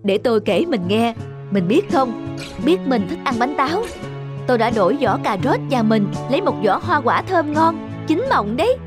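A man's voice: very high voice, big pitch swings, moderate pace. Devoted, earnest rabbit husband played by a man. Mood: tender, earnest. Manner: earnest, tender storytelling. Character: gentle, devoted, simple-hearted male rabbit husband. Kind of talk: animated fairy tale acting. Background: music.

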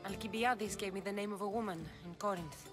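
A woman's voice: medium pitch, fairly even intonation, brisk, close to an everyday voice. An actress playing a tough female mercenary of ancient Greece in a game, speaking directly and guardedly. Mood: businesslike. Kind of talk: video game cutscene acting. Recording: music under the voice.

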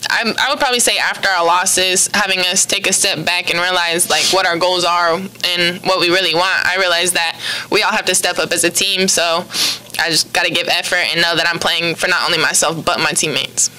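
A young woman, a college basketball player, giving brief, soft-spoken answers at a press conference into a table microphone. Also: on the low side, fairly even intonation, a little fast, rough recording. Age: young adult. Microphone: table microphone on a stand.

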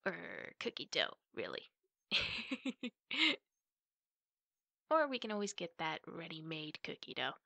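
A woman playing a playful, teasing, affectionate big sister in an ASMR roleplay, chatting to the listener with drawn-out words and hushed asides. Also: fairly low pitch, big pitch swings, vocal fry, dry recording, exaggerated voice. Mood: playful, eager.

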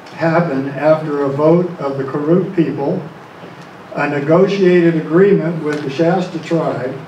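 Mellow higher-voiced man, muffled and distant. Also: a little hiss or hum. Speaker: member of the public giving testimony. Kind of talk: formal prepared statement read aloud. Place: indoor meeting room at a public hearing. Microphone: distant camera microphone. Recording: muffled, echoey, distant room microphone.

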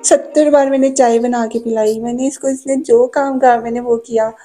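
A man's voice: extremely high pitch, fairly even intonation, normal speed, dark tone, soft background music. A young man voicing a lovestruck, nervous female student who pleads coyly, in a prank phone call. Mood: pleading. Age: young adult. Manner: coy, pleading. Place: small indoor room.

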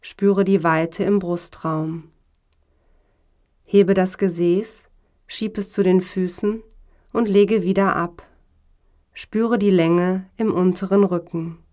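Female meditation guide, slightly low voice, very slow delivery, calm and measured yoga instruction. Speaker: yoga teacher guiding relaxation.

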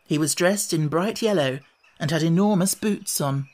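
A man's voice: on the high side, normal speed, a little mellow. A man narrating a children's storybook audiobook from a script.

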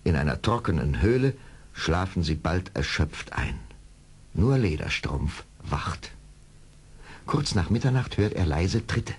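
An actor narrating an adventure radio play as its storyteller, with a husky voice and scripted delivery. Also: male voice, sits low, sing-song, unhurried, slight background noise. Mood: suspenseful. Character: male storyteller of an adventure tale.

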